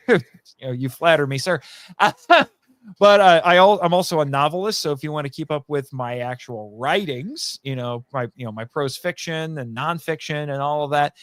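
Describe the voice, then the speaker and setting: A man's voice: medium pitch, fairly bright tone, clean tone, no echo. A man speaking as a podcast guest in a casual, rambling, jokey way, plugging his own projects with some self-deprecation. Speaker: podcast guest, writer and audio drama voice actor.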